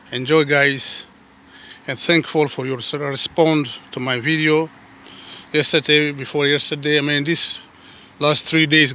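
A man, not a native English speaker, talking casually to his viewers while walking outdoors and filming with his phone, often calling them guys and dropping to soft asides. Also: a little high. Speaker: vlogger. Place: outdoors on a suburban footpath.

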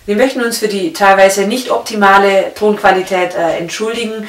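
A woman's voice: mid-range voice, steady tone, moderate pace, noisy background. A woman in her thirties introducing a segment to camera as presenter. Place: indoor room. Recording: very echoey room.

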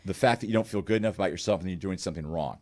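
A man's voice: low-pitched, rapid-fire, darkish tone. An older man speaking as a guest psychologist, explaining things to a caller in a reassuring, validating way on a studio microphone. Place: indoor studio. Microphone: close studio microphone on an arm.

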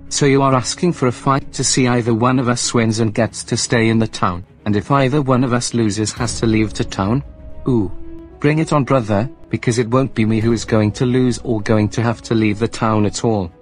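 A man's voice: medium pitch, moderate pace, natural voice. A man playing an earnest, polite young guy. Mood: indignant. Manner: earnest, apologetic, later indignant. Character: polite apologetic young man, quick to anger. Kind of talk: amateur voice drama. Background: music.

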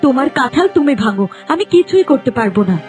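Very high-pitched scolding wife. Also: female voice, sing-song, fast, a little mellow, faint music behind. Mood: disgusted, scolding. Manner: scolding, questioning. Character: sharp, scolding village wife with a conscience.

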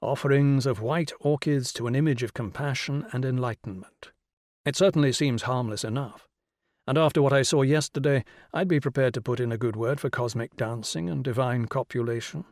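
An observant, sceptical man in audiobook dialogue, voiced by a male narrator. He speaks with dry, questioning reserve at a middle pitch, in a very dry recording. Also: fairly quick, darkish tone, dry recording, lightly acted. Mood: wry.

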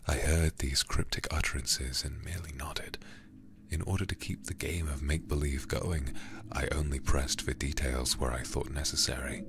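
A man reading a horror game aloud in ASMR style, hushed, breathy and deliberate, close to the microphone. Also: rumbling low, fairly even intonation, background noise. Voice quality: breathy, whispery. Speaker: livestreamer playing a horror game.

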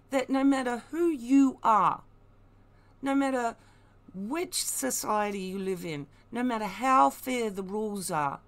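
A woman giving blunt, emphatic, mocking commentary, unscripted and opinionated. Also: medium pitch. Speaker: online commentator.

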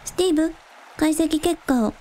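A woman's voice: sits high, moderate pace, dark tone, natural voice. A woman playing a casual, blunt young village idol in a radio drama, with breezy, slangy, matter-of-fact delivery. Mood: curious, casual. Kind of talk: Japanese radio drama acting.

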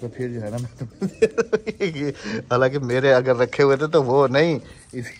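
A man's voice: medium pitch, measured pace, slight background noise. A middle-aged man with a Pakistani accent, chatting casually as a village family vlogger, recorded outdoors on a phone at arm's length. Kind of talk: casual chat to camera. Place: outdoors in village fields and open house courtyard.

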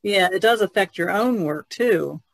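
Man on a podcast, kinda higher gravelly voice, thinks out loud, dry mic. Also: a little slow, dry recording. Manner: thoughtful, trailing off, laughs softly. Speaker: podcast guest artist. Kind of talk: casual discussion.

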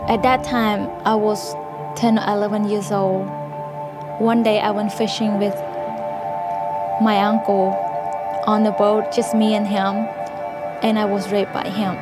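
A woman speaks softly and plainly, her pitch staying very level throughout. She is recorded on a studio interview set with a clear mic while music runs behind her. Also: level tone, a little slow, long pauses. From Cambodia. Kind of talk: personal testimony. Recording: music underneath.

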